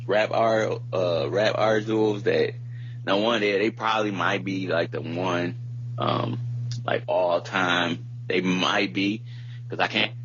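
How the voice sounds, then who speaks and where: A man in his thirties with a deep, low voice talks casually and informally, rambling in slangy phrases like a podcast co-host. The recording is dull and lo-fi, with a faint hum behind him. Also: a bit bright. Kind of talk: casual chat. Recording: muffled lo-fi audio with background hum.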